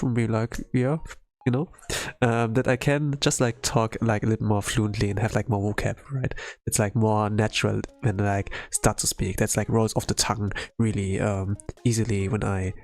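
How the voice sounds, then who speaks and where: A man's voice: fairly low pitch, a little mellow. A young man from Germany with a German accent, chatting casually on his art stream and rambling with lots of fillers. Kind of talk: casual chat while drawing on stream.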